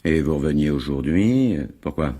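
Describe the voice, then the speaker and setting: An actor playing a gruff, skeptical middle-aged police inspector, commanding and sardonic, in a French radio crime drama. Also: male voice, a bass voice, expressive, normal speed, mellow. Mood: probing.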